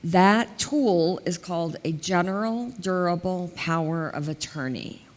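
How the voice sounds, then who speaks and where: A woman's voice: on the low side, measured pace. A woman in her fifties with a big voice, an elder law attorney giving a plain-spoken community talk and explaining step by step with examples. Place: large hall, indoors. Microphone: headset mic. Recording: long hall reverb.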